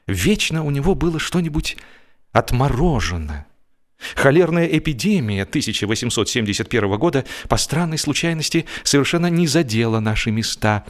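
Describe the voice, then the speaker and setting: A man narrating comic literature as an audiobook performer, in an expressive, mock-serious way, drawing out the ends of his phrases. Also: mid-range voice. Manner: expressive, wry mock-serious delivery with drawn-out phrase endings.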